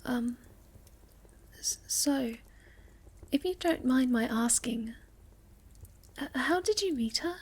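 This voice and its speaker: A woman playing a sweet, shy, gentle moth-girl monster in a soft, halting ASMR roleplay, often slipping toward a whisper. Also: sits high, expressive, breathy edge, full character voice. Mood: hesitant, wondering.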